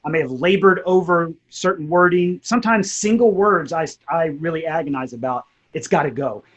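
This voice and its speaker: A man's voice: fairly high pitch, fairly quick, a bit bright, muffled recording. A man, a writer and narrator, casually explaining and reflecting on his creative process. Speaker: writer and narrator of audio drama.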